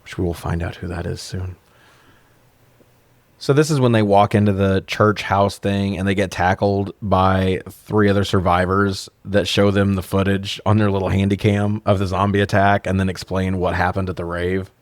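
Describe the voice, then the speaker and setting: A man's voice: low-pitched, level tone, normal speed, no room sound. A man in his forties co-hosting a casual comedic review show, talking in a profane, sarcastic way. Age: 40s. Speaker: podcast co-host reviewing films. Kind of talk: casual comedic recap chat.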